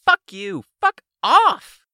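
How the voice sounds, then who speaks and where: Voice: mid-range voice, sing-song, normal speed, bright, forceful tone, no room sound, studio quality, voice pushed up. A narrator voicing a furious, taunting young female supervillain with a shrieking, sneering, profane delivery.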